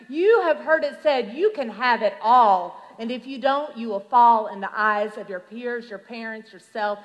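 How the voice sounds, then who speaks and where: Female spoken-word poet, higher-pitched, dramatic and rhythmic, heard in a big echoing hall. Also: a bit bright. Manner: emphatic, dramatic delivery with drawn-out phrases. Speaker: reverend performing slam poetry. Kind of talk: rhythmic slam poetry recitation. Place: large hall at a youth conference. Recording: reverberant large hall.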